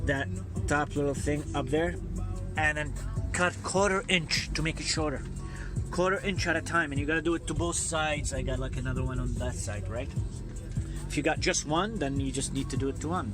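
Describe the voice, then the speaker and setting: A man with a slightly high voice walks through an equipment setup informally, one step at a time. His way of talking is plain and instructional, and music plays clearly behind him in a vehicle cab. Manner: plain-spoken, step-by-step explaining. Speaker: instructor demonstrating radio equipment. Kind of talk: informal hands-on tutorial.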